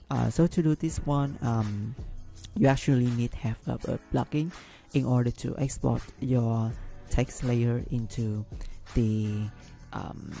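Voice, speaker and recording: Gravelly hesitant man explaining a tutorial. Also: medium pitch, dark tone. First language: not English. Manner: hesitant, many ums and restarts. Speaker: business analyst tutorial host. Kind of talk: screen-recorded software tutorial.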